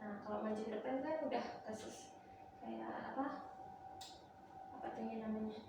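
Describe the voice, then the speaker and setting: A young woman from Malaysia speaks as an interview guest in a high-pitched voice, explaining things informally. She sounds distant from the microphone in a very reverberant room. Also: fairly even intonation, normal speed. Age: young adult. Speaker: dental student guest giving advice. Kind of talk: casual interview, explaining dental health. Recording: echoey room, distant mic, clear background noise.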